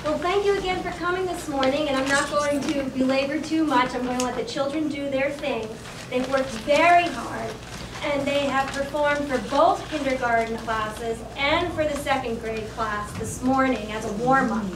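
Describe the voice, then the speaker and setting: A woman's voice: high-pitched, normal speed. A woman teacher giving an informal, explanatory welcome speech to an audience, projecting her voice. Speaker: teacher introducing a children's reading performance. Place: crowded classroom, recorded from the audience. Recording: distant, echoey, noisy room recording.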